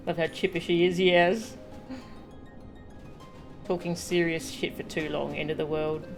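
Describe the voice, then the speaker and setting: A woman's voice: lowish voice, slow pace. A woman streaming a game with casual, exclamatory reactions, sometimes reading dialogue aloud, with music behind her. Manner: expressive, exclamatory.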